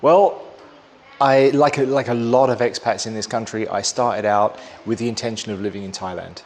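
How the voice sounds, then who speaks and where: Man, mid pitch, dry and self-mocking; interviewee, faint hum behind. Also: fairly even intonation, normal speed. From England. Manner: dry, joking, self-deprecating. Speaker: English teacher. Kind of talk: casual interview.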